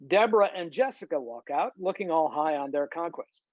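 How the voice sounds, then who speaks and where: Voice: mid-range voice, lively intonation, brisk, no room sound. A narrator reading scripted stage directions at a table read over a video call. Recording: muffled, dull video-call audio.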